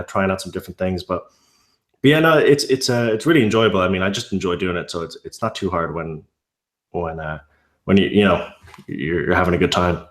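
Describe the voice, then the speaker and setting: A man's voice: low voice, fairly bright tone, echoey room. A man from Ireland with a mild Irish accent, chatting casually as an interview guest, rambling with many restarts. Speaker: YouTube narrator guest.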